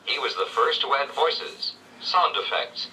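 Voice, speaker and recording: A man reading scripted 1970s educational narration with measured, clear storytelling phrasing. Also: mid-range voice, animated, bright, forceful tone, far from the mic, low-quality recording. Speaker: read-along narrator. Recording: old read-along played back from afar, faint noise.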